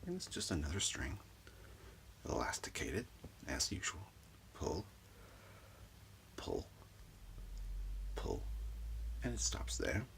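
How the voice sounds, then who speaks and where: A man with an extremely deep, crackling voice gives a soft ASMR healing session, often dropping into a whisper. He murmurs slowly and soothingly in short, repeated phrases, with a breathy edge. It is recorded close up in a small room at home. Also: sing-song. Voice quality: whispery, breathy, low and gravelly. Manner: soothing, repetitive, unhurried, murmuring. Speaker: ASMR performer giving a reiki session. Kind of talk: soft-spoken ASMR role of energy healer.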